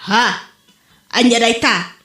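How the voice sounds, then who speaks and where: A woman's voice: fairly high pitch, slow pace, bright, forceful tone. A woman with an Ilocano accent acting a frank village woman in a comedy drama. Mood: frank, amused. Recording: roomy echo.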